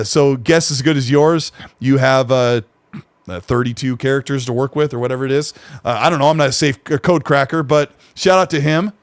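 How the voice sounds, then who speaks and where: A man's voice: on the high side, smooth, dry recording. A man co-hosting a casual gaming podcast, rambling with fillers and restarts. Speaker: podcast co-host.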